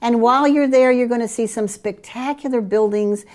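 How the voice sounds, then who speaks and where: A middle-aged woman from Curaçao with a Dutch Caribbean accent, presenting group trips in an eager sales pitch. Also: normal speed, a little mellow. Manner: enthusiastic sales pitch with fillers. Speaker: travel program presenter. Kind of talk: promotional chat about group tours.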